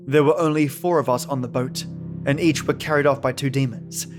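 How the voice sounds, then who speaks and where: A man's voice: medium pitch, fairly even intonation, a little fast. A man reading a scripted first-person horror story as a dramatic narrator. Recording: voice over background music.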